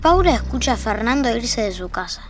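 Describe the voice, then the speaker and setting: A woman's voice: fairly high pitch, big pitch swings, a little fast, slight background noise. A woman with a Rioplatense Argentine accent reading scripted narration for an audio fiction.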